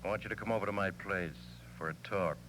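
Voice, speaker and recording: A menacing crook from a vintage radio thriller. The voice is very deep with a sharp edge, tough and clipped. There is faint noise on the old recording. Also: male voice, fast talker. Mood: coolly threatening. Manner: tough, clipped gangster talk. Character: cold, menacing male crook and blackmailer. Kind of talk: 1940s radio crime drama acting.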